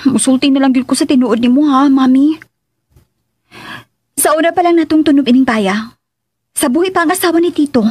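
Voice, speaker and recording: A woman as a high-voiced, coy young daughter. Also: brisk, long pauses, darkish tone, natural voice. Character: coy, lovestruck young daughter, bold and scheming. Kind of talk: radio drama acting.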